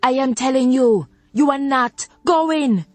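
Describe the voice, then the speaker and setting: A woman's voice: fairly high pitch, moderate pace, no room sound, faint music behind, pitched above the performer's own voice, natural voice. A woman voicing a stern, proud old Jamaican grandmother who scolds in thick patois. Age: older. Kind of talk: audiobook narration.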